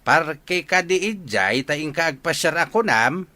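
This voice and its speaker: A man's voice: on the high side, expressive, a little slow, bright, forceful tone, no echo. A man playing a nosy, jocular, scheming village father with an Ilocano accent in a radio comedy drama. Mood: evasive, scheming.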